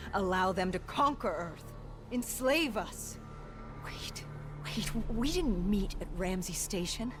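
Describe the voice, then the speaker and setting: A woman playing a haunted, weary soldier gravely recounting a zombie apocalypse in a video game. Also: medium pitch, natural voice. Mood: accusing, shaken. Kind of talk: dramatic first-person story narration. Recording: music behind the voice.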